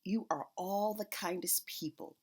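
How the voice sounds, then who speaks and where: A woman voicing a kindly, grateful grandmother in a storybook, gentle and measured. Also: on the low side.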